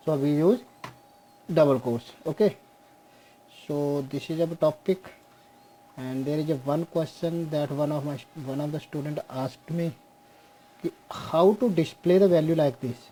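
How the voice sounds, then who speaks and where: A young man teaching a programming class on screen, slowly walking through code step by step and mixing Hindi with English. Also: on the high side, mellow, slight background noise, low-quality recording. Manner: slow, explains step by step, mixes Hindi and English. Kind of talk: screen-share coding lesson.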